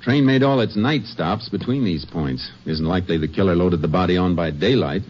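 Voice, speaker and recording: An actor playing a calm, shrewd Texas Ranger investigator, terse and understated, in a 1950s western radio drama. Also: male voice, sits low, a little fast. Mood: calm, reasoning. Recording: dull old radio recording.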